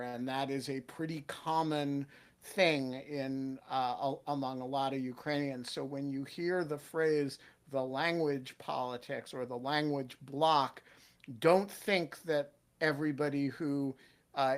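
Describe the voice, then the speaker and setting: A man hosting an online panel discussion, talking emphatically and thinking aloud with fillers and restarts. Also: medium pitch. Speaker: host.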